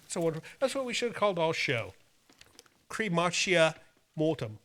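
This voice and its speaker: A man's voice: a little high, sing-song, moderate pace, fairly bright tone. A man hosting a show, reading out mail and bantering in a theatrical, playful way. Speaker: host of a horror movie show.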